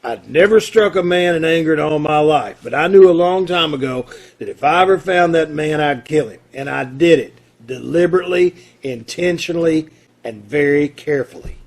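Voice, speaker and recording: A man's voice: on the high side, moderate pace, vocal fry. A man acting a stubborn, unrepentant condemned murderer in an old-time radio play, plain-spoken and stoic. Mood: firm, unrepentant. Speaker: amateur radio-troupe actor.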